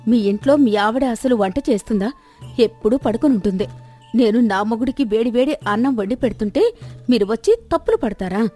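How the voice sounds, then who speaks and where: A woman voicing a sharp-tongued village wife. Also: fairly high pitch, expressive, faint music behind. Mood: scolding. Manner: quick, scolding, argumentative.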